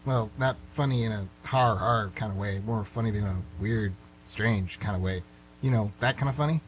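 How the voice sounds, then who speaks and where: A man's voice: on the low side, normal speed, voice pushed down, natural voice, poor audio. A man playing a frustrated middle-aged suspect in a radio play, pleading and backtracking as he rambles. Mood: awkward. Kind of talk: amateur radio play acting. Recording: hum and clear background noise.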